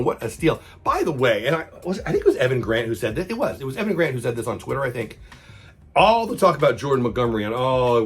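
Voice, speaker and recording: Hoarse man chatting about his team. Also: mid-range voice. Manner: chatty, repeats himself, fan talk. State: hoarse from a cold. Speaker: channel host. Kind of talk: casual sports fan vlog.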